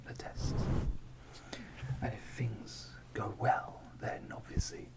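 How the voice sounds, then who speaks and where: A man whispering ASMR-style into a close microphone covered by a fluffy windshield, rambling softly with playful, self-mocking asides while he films himself on a phone. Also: takes it slowly, low-quality recording. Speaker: ASMR creator.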